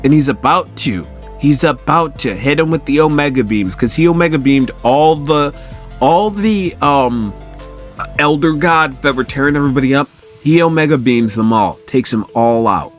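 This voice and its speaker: A man giving a casual, enthusiastic story recap as a host, with an animated, excitable way of telling it. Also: medium pitch, normal speed. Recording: music under the voice. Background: background music.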